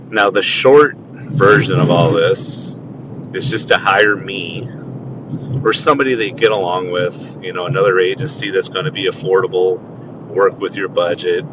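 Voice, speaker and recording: A man hosting his podcast from his car, talking casually in a rambling, self-amused way with many restarts. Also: dull audio. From Tucson, Arizona. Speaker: podcast host. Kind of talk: casual solo podcast monologue. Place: in a car driving. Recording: faint road rumble from the car. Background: car engine rumble.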